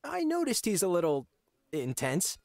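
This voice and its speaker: A young video game nobleman, polite and earnest. His high, lively voice is hesitant and awkward, recorded close and dry. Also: normal speed, creaky, no echo, heavily acted. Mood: puzzled. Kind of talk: video game character acting.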